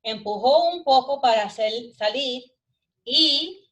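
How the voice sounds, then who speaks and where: A bilingual woman from Texas with a Texan accent, reading aloud in Spanish and answering briefly and gently. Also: sits high, expressive, measured pace. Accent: Texan Spanish-English bilingual. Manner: bright, gentle, brief replies. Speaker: guest reader, deputy. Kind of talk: bilingual read-aloud and chat.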